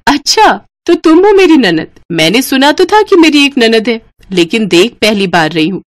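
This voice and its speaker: A woman's voice: on the high side, very animated, normal speed, no room sound, clean studio sound. A woman voicing a kind, protective young bride in a cartoon story, speaking in an earnest, caring manner. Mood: curious.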